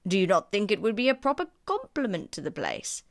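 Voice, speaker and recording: A woman voicing a gallant, garrulous older gentleman in an audiobook, courtly and effusively flattering. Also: medium pitch, a little fast. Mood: genial, chatty. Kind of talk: audiobook character acting.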